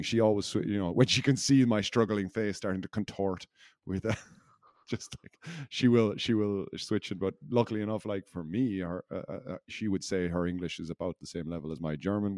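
Deep-voiced Irishman rambling on a podcast. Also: steady tone, brisk, a little mellow, no echo, studio quality. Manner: rambling, jokey, self-deprecating. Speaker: podcast co-host. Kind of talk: casual unscripted podcast chat.

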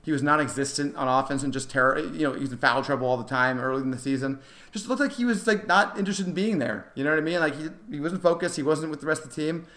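Fast-talking, higher-voiced man on sports podcast. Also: pushed and bright. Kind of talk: casual basketball discussion.

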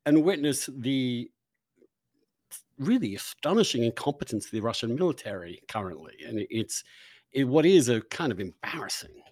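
Animated, emphatic male interviewer. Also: medium pitch, moderate pace, no room sound. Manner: opinionated, emphatic. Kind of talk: long conversational questions with commentary.

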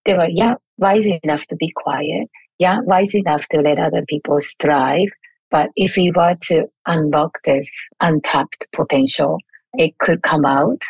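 A middle-aged woman from Japan with a Japanese accent, answering interview questions thoughtfully, pausing to search for words. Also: low-pitched, darkish tone, slightly rough, dry recording, poor audio. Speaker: guest, elder care researcher.

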